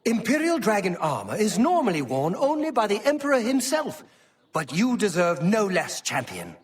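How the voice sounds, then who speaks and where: High-pitched, stately imperial chancellor. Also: male voice, wildly swooping pitch, normal speed, recorded from a distance. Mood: proud, ceremonial. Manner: formal, declamatory, emphatic. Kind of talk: dramatic fantasy game acting.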